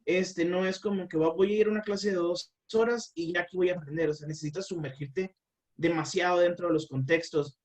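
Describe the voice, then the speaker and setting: A man's voice: high voice, steady tone, brisk, recorded from a distance. Hesitant man, a bit off-mic. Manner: hesitant, many fillers and restarts. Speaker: guest language teacher. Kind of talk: explanatory interview talk.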